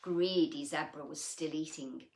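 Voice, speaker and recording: A woman reading a bedtime picture book to children, with gentle, chatty asides and questions to her young listeners. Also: low voice, a little slow, reverberant, noisy background.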